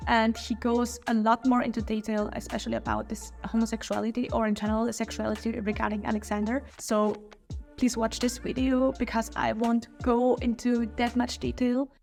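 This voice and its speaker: A woman's voice: a little high, little pitch movement, fairly quick, vocal fry, darkish tone, soft background music. A woman whose first language is German speaks English with a German accent, hosting a history channel in a casual, opinionated video review with small slips and restarts.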